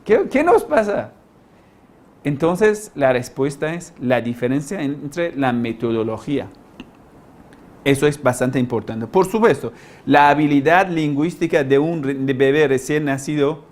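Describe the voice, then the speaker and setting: Male teacher, foreign-accented non-native speaker, slightly high voice. Informal, didactic lecture delivery, halting, with emphatic key words. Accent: foreign, non-native Spanish. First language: not Spanish. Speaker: language-learning teacher, lecturer.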